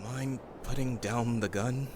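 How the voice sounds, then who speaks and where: A man's voice: medium pitch, fairly even intonation, slow pace, noisy background, lightly acted. Stern, guarded young survivor played by a man. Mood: guarded, tense. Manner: serious, terse, exasperated. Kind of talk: audio drama acting.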